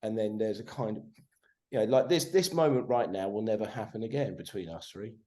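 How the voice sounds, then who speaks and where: A man in his forties talks as a podcast guest over a video call. He swears freely and stays animated. He keeps tagging his points with "right?". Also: on the low side, a little fast. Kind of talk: casual conversation.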